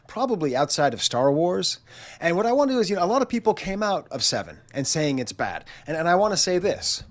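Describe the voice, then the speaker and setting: A man in his forties with a nasal, congested voice from a chest cold, chatting through a self-mocking story in a casual monologue and acting out quotes. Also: fairly high pitch. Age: 40s. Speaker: channel host.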